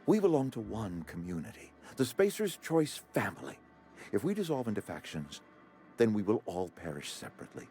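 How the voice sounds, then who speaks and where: An actor playing a pompous, genteel company-town administrator in a video game, speaking in formal, florid, emphatic phrases. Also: male voice, on the low side, very animated, a little fast, mellow, faint music behind. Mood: earnest persuasion. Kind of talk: video game character acting.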